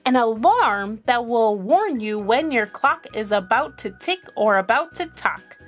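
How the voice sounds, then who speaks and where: A woman's voice: a little high, very animated, measured pace, faint music behind. A woman voicing a sly, pushy male rabbit salesman from a storybook, in a wheedling, salesy manner. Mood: salesy, eager.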